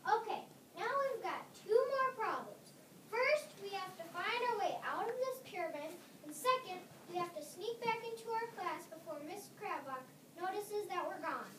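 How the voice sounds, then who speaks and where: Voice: very high-pitched, animated, normal speed, sharp tone, full character voice. A young reader playing an anxious, scolding schoolgirl in an amateur readers' theater performance. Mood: urgent. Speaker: student reader. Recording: distant mic in echoey, slightly noisy room.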